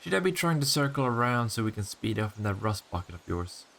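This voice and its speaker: A man's voice: on the low side, sing-song, fast talker, creaky, a bit bright, slight background noise, heavily acted. A man voicing a cocky, flirtatious vampire in a scripted roleplay audio drama, full of sardonic, teasing banter. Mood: mocking, flirtatious.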